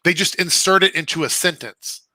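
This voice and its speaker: Casual co-host, man, bright forceful tone, dry close sound, loose rambling delivery. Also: high voice, moderate pace, no echo, poor audio. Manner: rambling, many fillers like "like" and "um". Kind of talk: casual podcast chat.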